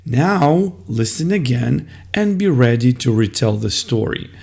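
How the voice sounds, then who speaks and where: A man explaining classroom methods as a teacher, in a deliberate, step-by-step instructional style. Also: medium pitch, very animated, gravelly, darkish tone, husky.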